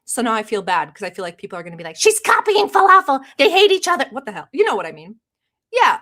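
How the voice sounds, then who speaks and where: A woman's voice: fairly high pitch, fairly bright tone. A woman born in Turkey, Turkish her first language, chatting casually on a live stream, laughing often and reading chat aloud. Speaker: reaction streamer.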